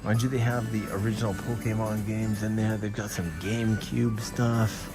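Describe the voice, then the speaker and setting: A man's voice: fairly low pitch, little pitch movement, normal speed, over loud music. A man live-streaming as he walks through shops and streets, chatting and exclaiming with enthusiasm. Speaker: streamer vlogging around Tokyo. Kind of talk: live-stream walking vlog. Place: shop in Tokyo, then outdoors on a street. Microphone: handheld camera on gimbal.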